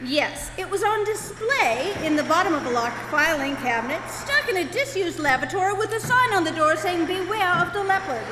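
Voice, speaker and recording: A woman's voice: extremely high pitch, lively intonation, moderate pace, few pauses. A woman playing a stubborn, sarcastic, indignant male homeowner in a live radio comedy, dry and exasperated. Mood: dry sarcasm. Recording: echoey hall with music behind.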